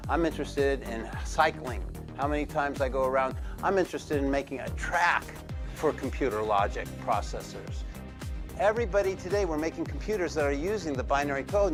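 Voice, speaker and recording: A man's voice: medium pitch, animated, brisk, music in the background. A man lecturing emphatically, insisting on his point and explaining it step by step. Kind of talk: lecture clip.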